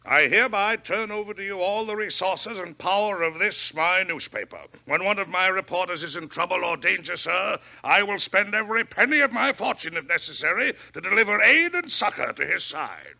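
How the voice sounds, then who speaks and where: An actor playing a pompous, earnest, wealthy newspaper publisher with grandiose oratory in 1940s radio style. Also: male voice, high-pitched, lively intonation, fairly quick, gravelly, few pauses, pushed and bright, heavily acted. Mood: resolute. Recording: muffled old radio broadcast.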